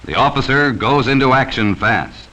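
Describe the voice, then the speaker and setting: A man's voice: medium pitch, moderate pace, bright, forceful tone, dull audio. An American man narrating a 1940s educational safety film from a script, speaking sternly and cautioning children directly, on a vintage film soundtrack.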